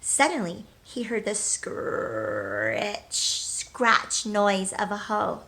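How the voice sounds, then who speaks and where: A woman's voice: mid-range voice, very animated, extremely slow, a bit bright. A woman teacher reading a storybook aloud to children, speaking to them directly and expressively, with emphasis on key words. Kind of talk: children's storybook read-aloud with questions to young listeners.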